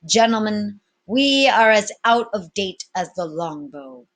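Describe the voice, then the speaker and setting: A storybook pirate captain, acted out by a woman reader, addresses his crew like an orator. The speech is florid and commanding, pitched up and projected with grand self-assurance. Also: expressive, takes it slowly. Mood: solemn, declamatory.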